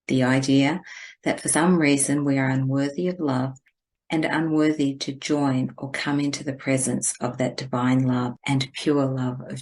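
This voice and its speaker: A woman leading a guided spiritual meditation in a gentle, deliberate, instructing manner. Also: a bass voice.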